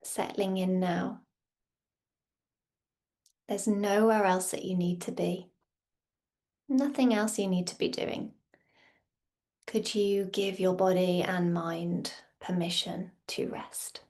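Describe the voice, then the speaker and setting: A woman guiding a meditation as a yoga teacher, speaking softly and soothingly in short, unhurried phrases with long pauses and a slightly breathy voice. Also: on the low side, steady tone. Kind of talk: guided meditation narration.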